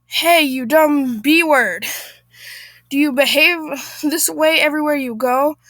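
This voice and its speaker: Woman playing a taunting man, scornful, mock-angry, emphatic, high-pitched, storytelling. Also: unhurried, fairly bright tone, no echo.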